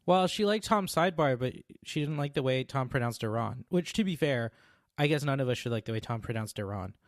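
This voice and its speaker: A man's voice: medium pitch, animated, brisk, no echo. A man co-hosting a podcast in casual chat, teasing the others with dry humor. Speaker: podcast co-host.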